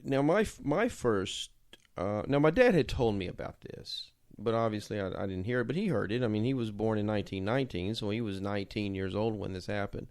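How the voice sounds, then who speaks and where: Older male co-host, lowish smooth voice with fry, halting casual talk. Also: lively intonation, darkish tone, no room sound, studio quality. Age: middle-aged or older. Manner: halting, searching for words. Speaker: show co-host. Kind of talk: casual chat.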